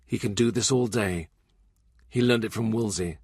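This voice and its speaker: A man's voice: lowish voice, moderate pace, breathy edge, dry recording, studio quality. A man narrating a historical novel as a scripted literary audiobook.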